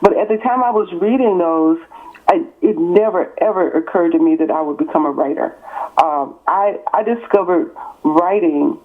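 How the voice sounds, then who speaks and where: A woman's voice: lowish voice, normal speed. A woman in her sixties from East Texas, an author speaking as an interview guest, emphatic and building her points in repeated parallel phrases. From born in California, raised in East Texas. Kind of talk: interview on a call. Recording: tinny phone line.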